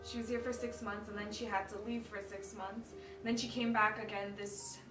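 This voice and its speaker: A woman's voice: medium pitch, flat delivery, moderate pace, music in the background. A teenage girl with an American accent talking casually in an interview, full of "like". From United States. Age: teens. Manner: chatty, lots of "like". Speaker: student interviewee. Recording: echoey room, mic off at a distance.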